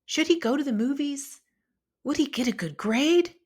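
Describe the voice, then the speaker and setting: A woman reading a children's story aloud in an expressive, deliberate narrating style. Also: high voice. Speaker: storybook reader.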